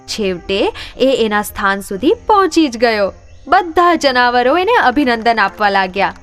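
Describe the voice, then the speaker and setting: Woman narrator for children's tales, expressive and higher-pitched, over faint background music. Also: unhurried. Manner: expressive storytelling. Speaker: storyteller, narrator. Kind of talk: scripted children's story narration.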